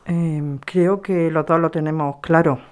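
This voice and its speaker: A woman's voice: sits low, steady tone, measured pace, darkish tone. A young woman playing a frank, insistent neighbour activist in an audio drama, speaking directly. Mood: suspicious, insistent. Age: young adult. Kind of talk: scripted audio drama acting.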